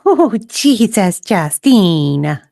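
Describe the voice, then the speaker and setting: A woman playing a flustered, breathy young woman in a dramatic romance reading, nervous and easily exasperated. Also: medium pitch, very animated, drawn-out words, dark tone, no echo, clean studio sound, heavily acted.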